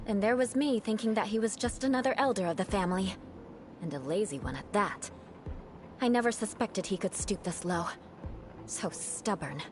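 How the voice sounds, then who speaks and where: An actress playing a proud, grudge-bearing noblewoman knight in a video game, speaking in a cool, measured, haughty way. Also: medium pitch, expressive, slight background noise, close to an everyday voice. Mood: bitter.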